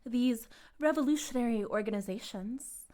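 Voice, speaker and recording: A woman's voice: a little high, normal speed, dark tone, lightly acted. A woman playing a charismatic, smugly menacing cult leader in a dramatic audio roleplay, speaking in a pompous, articulate and condescendingly persuasive way. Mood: lecturing, reflective.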